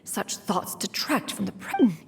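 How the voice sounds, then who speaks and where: A woman's voice: medium pitch, expressive, measured pace, creaky, a little hiss or hum. A woman voicing a pompous, fussy mature master in a video game, with stilted, ornate, over-polite speech. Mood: grumbling.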